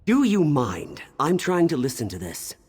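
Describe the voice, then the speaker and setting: An actor playing a grumbling, devout male churchgoer in a fantasy video game, with a husky voice. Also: on the high side, huge pitch swings, normal speed, darkish tone, full character voice. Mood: irritated.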